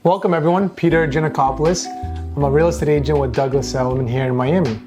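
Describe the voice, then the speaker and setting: A man's voice: on the high side, steady tone, fast talker. Earnest man, music under him. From Boston. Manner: earnest, conversational, with some restarts. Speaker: real estate agent, guest. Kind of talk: interview.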